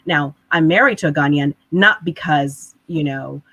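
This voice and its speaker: A woman's voice: sits low, moderate pace, breathy edge, no echo. A woman guest on a panel, telling a family story in a chatty way with many restarts. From United States. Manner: chatty, animated retelling with restarts. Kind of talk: conversational storytelling.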